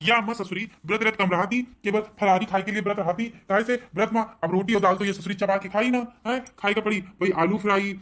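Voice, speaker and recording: A man's voice: sits high, steady tone, quick pace, few pauses, fairly bright tone. A man voicing a whiny, put-upon, hungry village husband in a comic cartoon, with an Awadhi Hindi accent. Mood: grumbling. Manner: grumbling, complaining.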